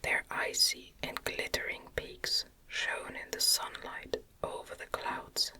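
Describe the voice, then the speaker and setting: A woman reading a gothic novel as ASMR in a gentle, breathy, unvoiced whisper, phrase by deliberate phrase. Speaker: ASMR reader.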